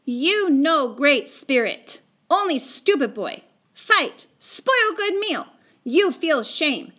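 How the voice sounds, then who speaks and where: A woman's voice: high voice, big pitch swings, takes it slowly, bright, forceful tone, pitched above the performer's own voice, exaggerated voice. A woman voicing a proud, angry tiny male warrior in an audiobook, speaking in clipped, broken sentences. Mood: scornful, furious. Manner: clipped broken sentences without small words. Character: proud, angry tiny Native warrior man, fierce and demanding. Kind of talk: acted audiobook dialogue.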